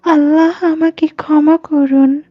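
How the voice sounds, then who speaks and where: A woman's voice: high-pitched, little pitch movement, slow pace, dry recording. A woman playing a respectful young student daughter in an Islamic moral cartoon, on a dry, slightly dull recording. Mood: remorseful.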